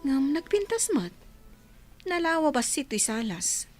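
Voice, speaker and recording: High-voiced Ilocano village mother, played by a woman. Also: very animated, a little slow, a little mellow, soft background music. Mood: chatty. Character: evasive, grudging village mother, politely two-faced. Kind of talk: Ilocano radio comedy drama acting.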